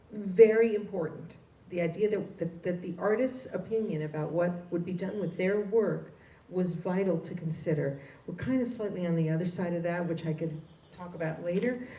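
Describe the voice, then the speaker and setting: 60s woman from cuba, low mellow voice, explains stuff steady, echoey and far from the cam. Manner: fluent, explanatory, measured. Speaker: art conservator, panel guest. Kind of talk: public panel discussion. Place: outdoor courtyard. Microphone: handheld mic, far camera. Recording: echoey, distant, faint background noise.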